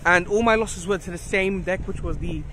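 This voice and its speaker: A young man giving a quick, plain-spoken recap of a tournament, outdoors on a city street at night. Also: high-pitched. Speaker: friend and guest player. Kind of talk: casual chat. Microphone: handheld camera. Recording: loud wind noise on an outdoor phone mic.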